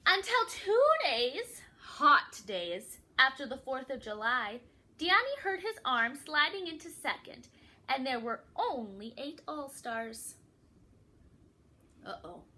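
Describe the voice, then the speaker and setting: Animated, high-pitched woman reading to children. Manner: animated, addresses listeners with questions, dramatic emphasis. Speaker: children's read-aloud storyteller. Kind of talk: expressive picture-book read-aloud with asides to young listeners.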